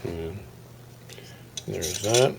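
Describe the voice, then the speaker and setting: A man with a very low, gravelly voice talks through a hands-on project in a casual, unscripted way. He rambles and halts as he explains, in a folksy manner. Some faint noise sits behind his voice. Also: extremely slow. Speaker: hobbyist radio restorer presenting his project.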